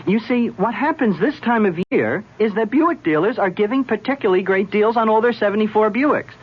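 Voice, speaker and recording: A high-voiced, politely persistent pitchman. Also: animated, a little fast, husky. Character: persistent, folksy man pitching cars to passerby. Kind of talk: comic radio commercial sketch. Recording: dull lo-fi old radio recording.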